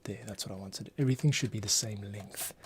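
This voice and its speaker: A man with a fairly low voice hosts a casual screencast. He thinks aloud, muttering and pausing as he works. There is faint noise behind him, along with typing and clicking. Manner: muttering to himself, pausing while working. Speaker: composer, streamer host. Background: typing, mouse clicks.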